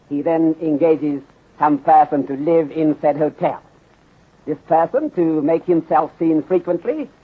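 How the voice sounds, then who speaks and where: A shrewd, courteous detective in a 1930s radio mystery. His voice is a little high and rough-edged, with formal, deliberate phrasing that skips small words. It sounds boxed-in and tinny, like an old broadcast. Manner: formal, measured, polite, drops articles. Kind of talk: 1930s radio drama acting.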